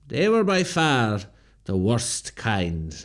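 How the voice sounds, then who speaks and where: An actor playing a grave rebel commander recalling a war, in measured, solemn radio drama narration. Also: male voice, medium pitch, wildly swooping pitch, heavily acted. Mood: bitter, solemn. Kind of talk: scripted first-person drama narration.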